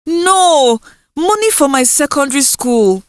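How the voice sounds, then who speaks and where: A woman's voice: high voice, animated, a little slow, no room sound, clean studio sound, voice pushed up. A woman storyteller voicing a determined, emotional teenage village girl who pleads and defies in a folktale. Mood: hopeful, determined.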